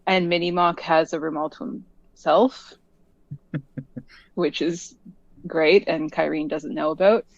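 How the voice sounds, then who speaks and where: A chatty player rambles through their character's actions with plenty of fillers. The delivery is slow, and the recording sounds dull and dry, as if from a lo-fi mic. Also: mid-range voice, steady tone, dry recording. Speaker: tabletop game player.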